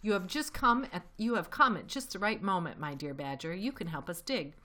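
A woman's voice: fairly low pitch, animated, brisk, pushed and bright. Woman as a sly, grandly polite storybook fox. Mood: eager, commanding. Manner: assured, grandly polite, relishing his words. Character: sly, confident, courteous father fox.

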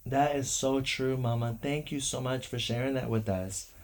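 Even-toned, affectionate man hosting a podcast. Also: mid-range voice, normal speed. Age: adult, parent of three. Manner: affectionate, encouraging, repeats himself. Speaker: podcast host. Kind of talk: encouraging devotional talk with children.

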